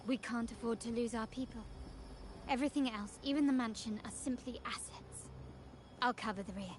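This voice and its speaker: A woman's voice: on the high side, normal speed, slight background noise, natural voice. A woman playing a poised, commanding young mafia heiress in a video game, composed and decisive, with an imperious manner. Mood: calm, decisive.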